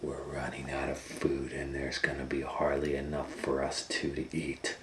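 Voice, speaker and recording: A man's voice: very deep voice, monotone, normal speed. A man voicing a scheming, bossy woodcutter's wife in a children's fairy tale, with false sweetness. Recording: roomy echo, faint background noise.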